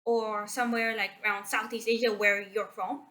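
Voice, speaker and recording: A woman's voice: mid-range voice, flat delivery, moderate pace, bright, forceful tone. A young woman from Malaysia giving a casual movie summary for her channel, talking hesitantly and often unsure of the facts, with many fillers and restarts. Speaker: video creator. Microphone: computer screen recording mic.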